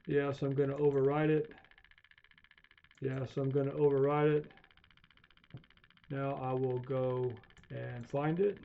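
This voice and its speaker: A man giving an unscripted screencast tutorial, haltingly thinking aloud as he demonstrates software. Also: medium pitch, level tone, vocal fry. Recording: dull, lo-fi voice recording.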